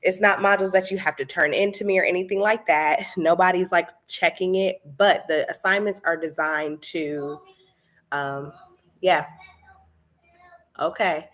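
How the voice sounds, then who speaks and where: Chatty low-voiced woman with a stuffy nose. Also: steady tone, measured pace, fairly bright tone. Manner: chatty, many fillers, laughs often. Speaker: candle business coach hosting a live stream. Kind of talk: casual live-stream chat.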